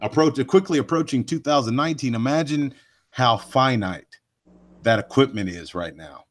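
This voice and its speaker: A man with a deep voice that carries, hosting a live show and rambling folksily with many asides to his listeners. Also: normal speed. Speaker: host of a cryptid research live show. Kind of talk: casual live podcast chat.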